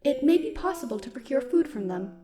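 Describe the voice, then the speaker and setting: A woman playing a stoic, dutiful military robot in an audio roleplay, speaking in a formal, measured, protective way. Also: high-pitched, very animated. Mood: factual, measured. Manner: formal, measured, literal wording. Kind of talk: scripted audio roleplay, speaking softly to one listener.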